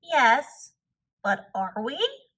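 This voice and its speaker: A woman voicing a timid male toad in a storybook, earnest and expressive, putting on bravery. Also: high-pitched, drawn-out words, exaggerated voice. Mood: doubtful.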